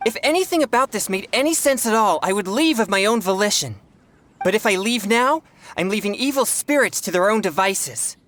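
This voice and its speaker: A man voicing an earnest, principled young exorcist in a video game, speaking politely in formal, measured wording. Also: high voice, animated, fairly bright tone. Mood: indignant.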